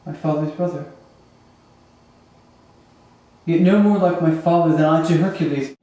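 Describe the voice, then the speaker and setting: A man plays a bitter, anguished young prince delivering a Shakespearean monologue. The pace is slow, with weighty dramatic pauses and a soft, dark tone. The voice rings through a very echoey room. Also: mid-range voice, fairly even intonation, close to an everyday voice. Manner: halting, emphatic, sighing delivery with dramatic pauses. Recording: echoey room, distant microphone.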